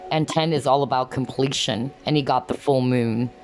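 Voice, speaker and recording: Low-pitched lady doing a tarot reading, voice getting hoarse, soft music behind. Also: steady tone, normal speed. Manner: earnest, repeats "okay?" to viewers. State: losing her voice. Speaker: tarot card reader. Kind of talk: pick-a-card reading to camera.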